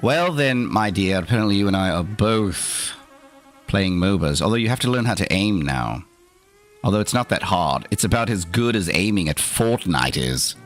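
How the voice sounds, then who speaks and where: A man's voice: low voice, lively intonation, moderate pace, faint music behind. A man hosting an in-character livestream, talking theatrically in a put-on accent with dry, opinionated asides. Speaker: streamer host.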